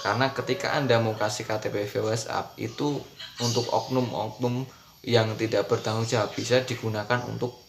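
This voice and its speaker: A man's voice: mid-range voice, flat delivery, moderate pace, vocal fry, background noise. A man in his thirties with a thick Javanese accent, earnestly explaining a scam to his viewers in a casual vlog recorded indoors. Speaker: furniture seller. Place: indoor room.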